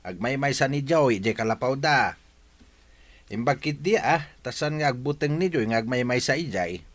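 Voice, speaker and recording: A man's voice: medium pitch, expressive, normal speed, lightly acted. A man playing a gossipy, complaining neighbour in an audio drama, with a Filipino accent.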